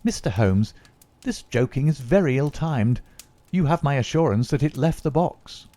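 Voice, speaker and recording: A man's voice: medium pitch, lively intonation, moderate pace, warm tone, no room sound, pitched above the performer's own voice, lightly acted. A man doing a frantic young secretary. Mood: indignant. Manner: agitated, exclamatory. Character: excitable young male government secretary.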